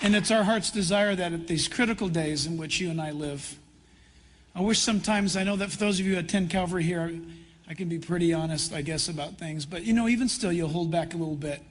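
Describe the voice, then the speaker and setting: Male, American accent, high husky voice, earnest pastor, conversational sermon delivery, deliberate pauses. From California, United States. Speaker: pastor and conference host. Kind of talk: conference address in sermon style. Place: large church hall. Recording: reverberant large hall, live PA sound.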